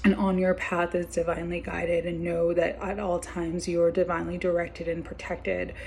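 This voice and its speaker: A woman doing a weekly tarot card reading, talking gently and meandering with many fillers while she is losing her voice. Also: lowish voice, creaky.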